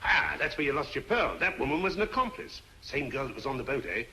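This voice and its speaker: A blustering British police inspector with an English accent and a very sharp, forceful voice. He is self-satisfied and sarcastic, his pitch rising and falling in lively swings. It sounds like an old detective film. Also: fast talker, a little hiss or hum. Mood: gruff, suspicious. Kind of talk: vintage detective film acting.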